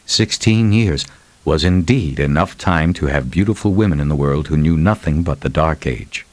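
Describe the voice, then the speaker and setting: A man's voice: rumbling low, lively intonation, moderate pace, a little mellow, no room sound. A man narrating fiction as a scripted, dramatic audiobook reading.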